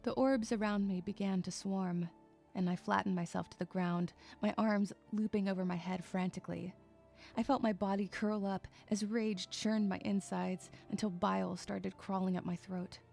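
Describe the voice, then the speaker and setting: A woman's voice: medium pitch, fairly even intonation, normal speed, creaky, a little mellow, faint music behind, close to an everyday voice. A woman playing a troubled, withdrawn young loner who narrates her own story in an introspective, emotive way. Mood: anguished. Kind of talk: dramatic first-person story narration.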